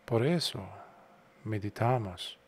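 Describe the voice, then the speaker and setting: A man guiding a meditation as a monk would, unhurried, in short phrases separated by long pauses. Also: lowish voice, expressive. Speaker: Buddhist monk, meditation guide. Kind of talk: guided meditation talk.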